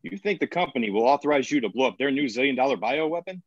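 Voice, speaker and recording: A man playing a gruff, sarcastic, short-tempered colony administrator in a screenplay table read. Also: medium pitch, expressive, quick pace, no echo, natural voice. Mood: exasperated, sarcastic. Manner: curt, sardonic, foul-mouthed. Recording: dull lo-fi audio.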